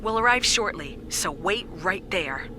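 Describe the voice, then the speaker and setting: A woman voicing a brisk, commanding field leader in a video game, businesslike, over noisy ambience. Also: mid-range voice, expressive, close to an everyday voice. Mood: urgent, focused. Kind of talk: dramatic video game acting.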